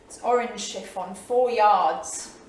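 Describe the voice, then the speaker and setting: An actress playing a spirited, romantic 1930s wife in a dramatic staged reading. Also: female voice, mid-range voice, slow, natural voice. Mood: playful, exuberant. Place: small theatre studio. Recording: echoey theatre, distant mic, faint noise.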